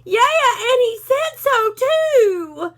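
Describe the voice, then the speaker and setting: A woman reads out a cowardly little male ranch dog in a high, bright, wailing voice. He whimpers and pleads, always on the edge of tears. A storybook figure, played for laughs. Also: lively intonation, drawn-out words, exaggerated voice. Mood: panicky whining.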